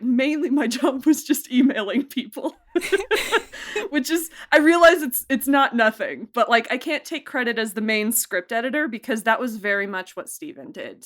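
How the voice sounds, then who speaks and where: A woman's voice: fairly high pitch, a bit bright. A woman, an executive producer, being interviewed in a casual chat, talking in a rambling way with frequent laughs and restarts. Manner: chatty, laughs often, many likes and restarts.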